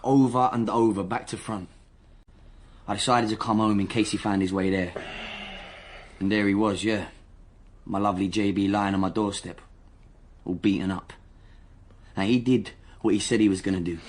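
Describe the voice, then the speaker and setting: An actor playing a cocky, defensive young gang member under interrogation in a radio drama, speaking indignant street slang. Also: male voice, on the low side, steady tone, normal speed, pauses often, a little hiss or hum, natural voice. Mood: aggrieved. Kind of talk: naturalistic radio drama dialogue.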